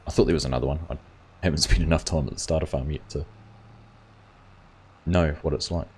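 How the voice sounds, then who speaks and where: A man's voice: very deep voice, fairly even intonation, brisk, a little mellow, slightly rough. A man hosting a gaming livestream, chatting casually and reading viewers' comments aloud. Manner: rambling, reads chat aloud and answers viewers.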